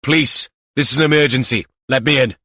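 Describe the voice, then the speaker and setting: A man's voice: mid-range voice, brisk, bright, forceful tone, dry recording, studio quality, voice pushed up. A man playing a tense, determined policeman who speaks in terse commands. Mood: urgent, commanding. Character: tense, determined policeman keeping himself under control.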